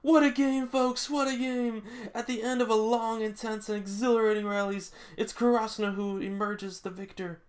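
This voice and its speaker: Man putting on a loud, high-pitched excitable sports announcer. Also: normal speed, few pauses, lightly acted. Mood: triumphant, excited. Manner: loud, dramatic, proclaiming. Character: excitable male sports announcer calling a match.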